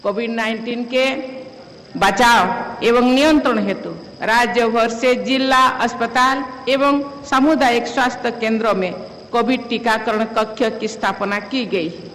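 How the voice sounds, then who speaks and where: A woman's voice: a little high, steady tone, a little slow, a bit bright. Woman giving formal speech in reverberant hall. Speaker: speaker giving an official address. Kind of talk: formal speech read from a text. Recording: long hall reverb, faint noise.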